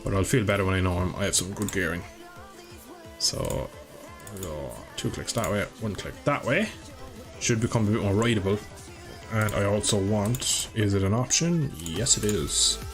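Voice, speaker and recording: Man, game streamer, lowish voice, rambling chatty commentary, swears at crashes, loud music behind. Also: expressive, normal speed. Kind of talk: live gameplay commentary.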